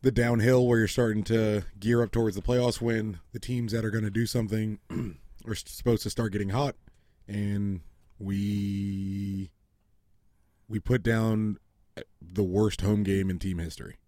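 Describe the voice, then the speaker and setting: A man's voice: on the low side, level tone, a little slow, dark tone, dry recording. A man co-hosting a sports podcast in a studio, sardonic and profane in casual talk. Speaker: podcast co-host.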